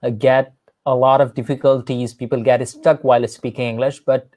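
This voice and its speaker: A man's voice: medium pitch, level tone, normal speed, a little mellow, no room sound. A man from Pakistan, an English teacher, talking earnestly in a casual conversation and often restarting with "I mean". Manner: earnest, repeats himself with "I mean".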